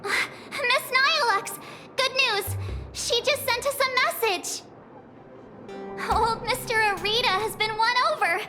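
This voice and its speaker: A woman's voice: very high voice, measured pace. A woman voicing a small, cute, timid furry assistant creature in a game, with a cutesy, plaintive whine. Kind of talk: game voice acting. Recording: music playing behind.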